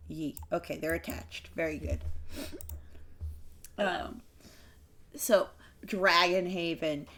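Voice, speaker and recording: A woman narrating as game master of a tabletop game, casual and halting. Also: medium pitch, fairly bright tone.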